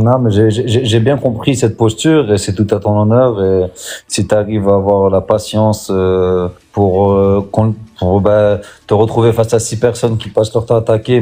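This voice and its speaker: A man as a guest on a live online talk, speaking in a calm, supportive and measured way. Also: fairly low pitch. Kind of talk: casual live chat.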